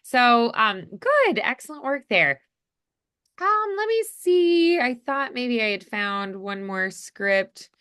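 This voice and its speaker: A woman's voice: on the high side, sing-song, slow, a bit bright, clear, resonant tone. A woman coaching voice acting in a casual, encouraging, conversational way. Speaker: voice acting teacher.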